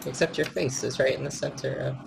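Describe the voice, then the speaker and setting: A man's voice: medium pitch, level tone, fairly quick, vocal fry, noisy background. A man chatting casually as the host and storyteller of a livestream. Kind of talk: casual livestream hosting chat.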